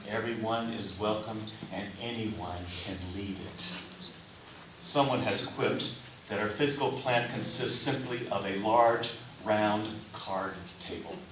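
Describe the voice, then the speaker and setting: A man delivering a sermon in a deliberate manner, in short phrases with pauses. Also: lowish voice, steady tone. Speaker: preacher. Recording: roomy echo, distant mic, faint hiss.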